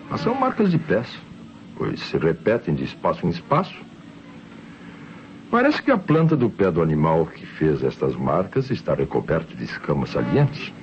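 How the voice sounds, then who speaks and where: An actor playing a thoughtful, methodical Brazilian police commissioner in a radio mystery, explaining evidence formally and deliberately. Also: male voice, low-pitched, heavily acted. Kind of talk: old radio mystery serial acting. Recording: muffled, noisy vintage radio drama.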